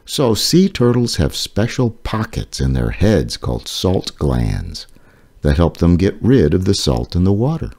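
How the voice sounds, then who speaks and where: A man reading an educational children's book aloud as its author, phrasing things deliberately and explaining them for children. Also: deep voice, huge pitch swings, a little mellow.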